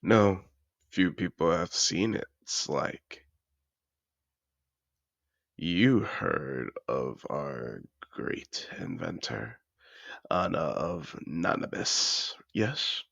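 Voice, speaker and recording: A suave male dragon in aristocratic disguise, acted out by a man, speaks with courtly, seductive polish in a fantasy audio romance. The voice is extremely deep and creaky, swooping through its range as it savours formal phrases. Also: very animated, very slow, long pauses, a bit bright, no room sound, muffled recording, heavily acted. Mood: teasing, seductive. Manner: courtly, formal, smooth-talking.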